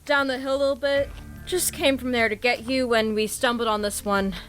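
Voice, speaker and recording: A woman's voice: on the high side, fairly even intonation, brisk, fairly bright tone, soft background music, lightly acted. A woman playing a nervous chatty searcher. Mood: anxious. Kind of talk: audio drama acting.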